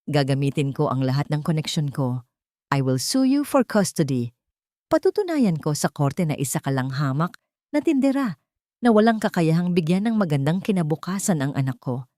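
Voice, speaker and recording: A woman's voice: sits low, very animated, normal speed, warm tone, dry recording. An arrogant estranged husband from an audio romance drama, acted out by a woman narrator with a Filipino accent. He speaks with contempt and authority, threatening as he switches languages. The sound is very dry. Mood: angry, threatening.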